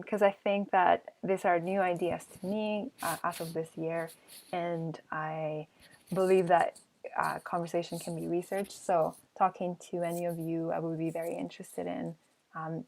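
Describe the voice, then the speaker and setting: Low gravelly woman from peru, lots of ums, chatting thru a q&a. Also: flat delivery, moderate pace. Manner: hesitant, many ums and restarts. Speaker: artist giving an online talk. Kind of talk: casual Q&A answers.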